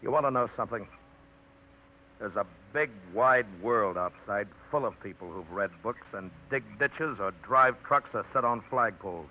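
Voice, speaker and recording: An actor playing a blunt, well-read man who runs a truck line, dry and low-key, in a 1950s radio drama. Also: expressive, normal speed, lightly acted. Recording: tinny, hissy 1950s radio broadcast.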